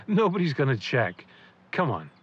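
A man acting a bereaved middle-aged father in an audio drama, folksy and brooding. His voice is husky and a bit rough, halting as he talks, on a slightly muffled recording. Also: medium pitch, huge pitch swings, full character voice. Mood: eager, folksy.

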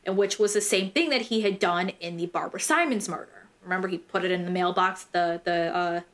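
A woman's voice: lowish voice, a little fast. A young American woman hosting a true crime podcast, telling the story casually in slangy, irreverent language and swearing freely.

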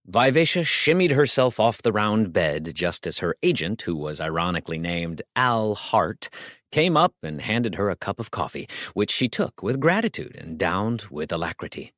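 A man's voice: lowish voice, sing-song, normal speed, a bit bright, no room sound, clean studio sound. A man narrating a comic story as a scripted audiobook, theatrical and wry, savoring his phrases.

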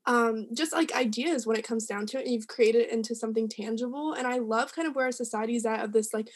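A woman's voice: fairly high pitch, fast, breathy edge. A woman co-hosting a podcast in casual chat, responding in an affirming, loosely worded way. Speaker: podcast co-host.

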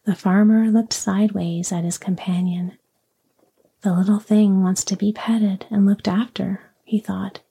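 A woman whispers a bedtime story in a husky, breathy voice, slow and soothing. Her tone is dark and mellow, with a faint gravelly fry. She reads gently, as if helping someone drift off to sleep. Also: medium pitch, flat delivery.

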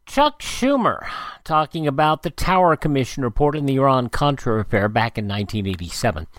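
A man's voice: medium pitch, lively intonation, a little fast, a bit bright, no echo. A man hosting a radio show, reading out dated items like an announcer and adding short asides. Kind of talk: radio narration of this day in history.

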